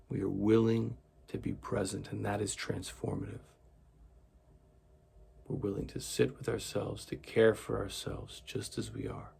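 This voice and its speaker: A man leading a guided meditation in a gentle, soothing, deliberate way, with a gravelly, husky voice. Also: lowish voice, a little hiss or hum.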